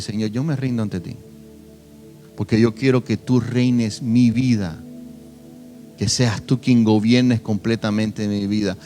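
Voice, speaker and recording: A young man from Cuba, preaching a sermon and telling his own testimony, swinging between soft and loud emphasis. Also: medium pitch. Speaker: pastor.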